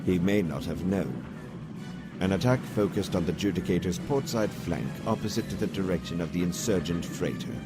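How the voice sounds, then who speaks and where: A man playing a calm, calculating military officer in a comic dub, speaking in a measured, formal and precise way. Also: low-pitched. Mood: cool, analytical. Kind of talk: scripted comic dub acting. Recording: music behind the voice.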